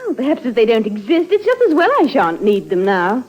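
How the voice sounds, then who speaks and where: An actress playing a calculating, self-possessed young heiress in a composed, coolly mocking radio drama style. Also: female voice, a little high, sing-song, fairly quick, a little mellow, slightly rough. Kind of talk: 1950s radio drama acting. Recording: muffled vintage radio recording.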